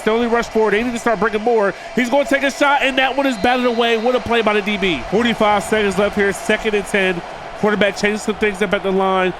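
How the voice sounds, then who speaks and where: A man's voice: high voice, noisy background. Nasal, stuffed-up man calling game plays. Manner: addresses viewers as "boys", hypes big plays. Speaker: gaming video creator. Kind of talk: play-by-play commentary over a football video game.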